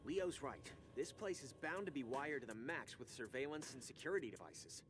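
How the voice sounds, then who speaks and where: An actor voicing an earnest, commanding teenage turtle leader. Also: male voice, medium pitch, big pitch swings, normal speed, music in the background, close to an everyday voice. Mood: serious. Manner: firm, commanding.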